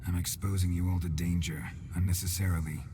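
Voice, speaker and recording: Actor as very deep-voiced stoic monster hunter. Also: male voice, little pitch movement, brisk, noisy background, close to an everyday voice. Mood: troubled. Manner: terse, restrained. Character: gruff stoic monster hunter, weary and duty-bound. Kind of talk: video game cutscene acting. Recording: music behind the dialogue.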